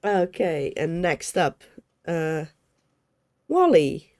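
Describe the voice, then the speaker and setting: A woman with a cold running a streamed tabletop session, sniffly and a touch low-pitched. She rambles slowly and corrects herself as she talks, her voice swinging up and down with a lively range. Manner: rambling, self-correcting, chatty. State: sick and sniffly. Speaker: game master. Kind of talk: casual streamed tabletop game hosting.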